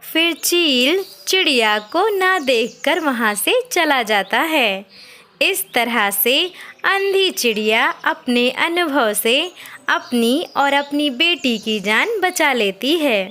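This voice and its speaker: A woman narrates a children's story from a script. Her voice is high and bright, and she goes slowly from phrase to phrase, with faint music underneath. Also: lively intonation.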